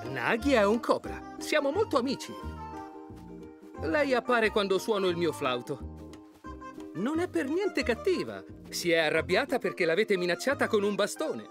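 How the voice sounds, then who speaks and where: A woman's voice: medium pitch, very animated, normal speed, close to an everyday voice. Woman doing a friendly little mongoose for a cartoon, voice swings up and down loads, music under. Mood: reassuring. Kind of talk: children's cartoon dubbing. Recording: music behind.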